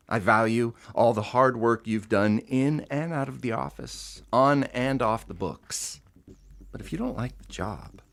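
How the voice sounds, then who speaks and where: An actor playing a composed, stubbornly idealistic company president in an audio drama. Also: male voice, on the low side, vocal fry. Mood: firm.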